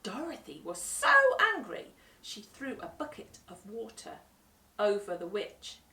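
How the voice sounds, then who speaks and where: A woman reading a children's storybook aloud with expressive narration. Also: mid-range voice, unhurried, creaky, recorded from a distance. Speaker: storytime reader. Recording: roomy echo, away from the mic.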